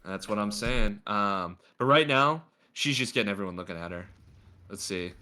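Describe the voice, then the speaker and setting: A man's voice: fairly low pitch, lively intonation, normal speed, sharp tone. A man coaching a player through a game replay in a casual, chatty way, with a slightly stuffy nose. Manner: chatty, lots of filler words. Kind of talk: casual gameplay review.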